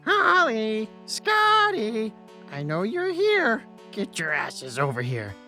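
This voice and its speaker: Audiobook catfish puppet played by a woman: folksy, sadistic taunter, high and very slow, mocking. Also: wildly swooping pitch, pushed and bright, faint music behind, heavily acted. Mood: taunting, swaggering. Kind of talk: audiobook character voice.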